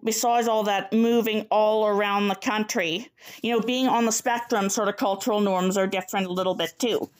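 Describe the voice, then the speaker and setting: A young adult woman, giving a prepared presentation with conversational reflections. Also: mid-range voice. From Canada. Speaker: presenter, PhD candidate.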